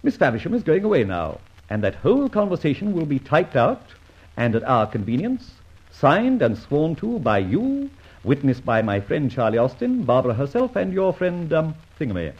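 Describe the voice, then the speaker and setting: An actor playing a suave, vengeful gentleman adventurer and ex-officer, speaking with theatrical, mocking irony in an old radio drama. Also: on the high side, wildly swooping pitch, normal speed, husky, exaggerated voice. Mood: triumphant. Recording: muffled old radio recording.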